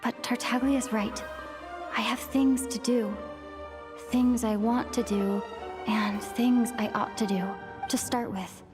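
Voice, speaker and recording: Thoughtful young adventurer girl musing to herself, highish voice, big echoing tail on it. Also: fairly even intonation, takes it slowly. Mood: pensive. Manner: pensive, thinking aloud. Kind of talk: video game voice acting. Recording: long reverb, music behind.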